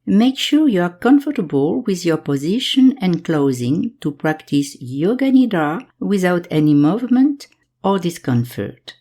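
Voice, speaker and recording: A woman guiding a yoga nidra meditation in calm, measured instructions, leaving pauses between phrases. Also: fairly low pitch. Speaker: meditation guide.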